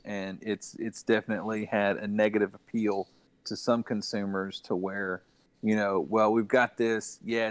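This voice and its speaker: A man's voice: on the low side, dry recording. A man co-hosting a casual gaming discussion, sharing opinions as he thinks them through aloud. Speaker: gaming podcast co-host.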